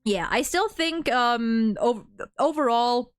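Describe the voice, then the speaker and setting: A woman's voice: on the high side, lively intonation, slow, clear, resonant tone. A woman streamer chatting casually and informally, rambling through her opinions and swearing freely. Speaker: streamer hosting a game story ranking discussion. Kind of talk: casual chat.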